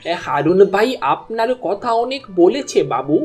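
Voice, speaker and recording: Younger fisherman sidekick, male actor, cartoon, high mellow tone, chatty, practical, faint music underneath. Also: lively intonation, a little slow. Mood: agreeable. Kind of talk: cartoon dialogue.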